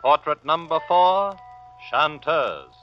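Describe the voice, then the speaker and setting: Man, 1940s announcer, animated high voice, theatrical title reading, music behind, dull old recording. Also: slow pace. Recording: muffled vintage 1940s radio recording. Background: prominent music.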